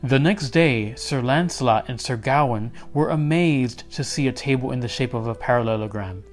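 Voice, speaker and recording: A man reading a children's storybook aloud as an expressive narrator. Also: mid-range voice, moderate pace, faint music behind.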